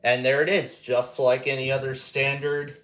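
A man's voice: medium pitch, flat delivery, moderate pace, a bit bright. A man giving a casual step-by-step tutorial, often repeating and rephrasing himself as he explains. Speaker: tutorial host. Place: indoor room at a table.

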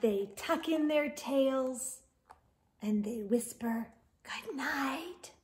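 A woman in her forties reads aloud for young children. Her voice sits high and moves at an unhurried pace. She leans on words with animated, drawn-out emphasis, as a picture-book storyteller does. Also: a little hiss or hum. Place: indoors, small room lined with bookshelves.

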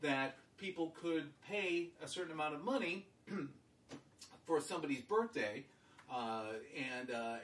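A man's voice: medium pitch, fairly bright tone. Middle-aged male host, casual and rambling, full of fillers, captured from a distance with faint background hiss. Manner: rambling, halting with many fillers and restarts. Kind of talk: casual chat. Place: living room. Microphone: far camera. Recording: echoey room from a distance.

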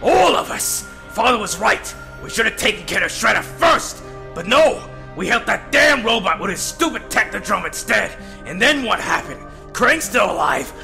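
A man's voice: sits high, gravelly, sharp tone, heavily acted. A man voicing an angry, combative teenage mutant turtle in a comic dub. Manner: blunt, combative.

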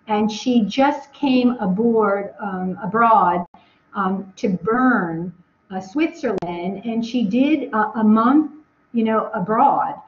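An American woman answering questions as a webinar guest from home, rambling with many ums and restarts. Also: fairly high pitch. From United States. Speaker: guest speaker. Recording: echoey, dull video call audio.

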